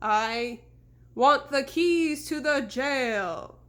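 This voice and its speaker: A woman doing a slow, moaning ghostly horseman. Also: high voice, lively intonation, a bit bright, heavily acted. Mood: menacing.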